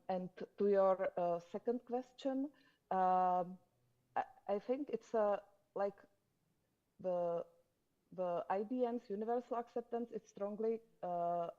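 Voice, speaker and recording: This is a woman whose native tongue is Czech, speaking on a conference panel. Her voice is mellow and fairly flat in pitch. She searches for words with frequent fillers, and the sound is a little dull. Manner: halting, lots of fillers and restarts. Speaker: conference panelist from a domain registry. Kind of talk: panel discussion answer.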